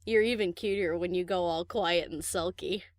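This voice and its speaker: A woman's voice: fairly low pitch, normal speed, dry recording, clean studio sound, natural voice. A woman acting a cheeky, teasing young DJ pony in a fan audio drama, flippant and casual.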